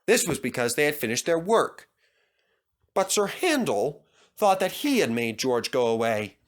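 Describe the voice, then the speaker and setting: A man's voice: on the high side, sing-song, unhurried. A man reading a scripted children's story as narrator.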